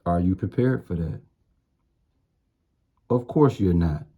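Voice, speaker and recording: A man's voice: low-pitched, animated, slow, breathy edge, phone-quality sound. A man giving an informal sermon in blunt, streetwise slang, repeating phrases for emphasis. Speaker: street-style preacher.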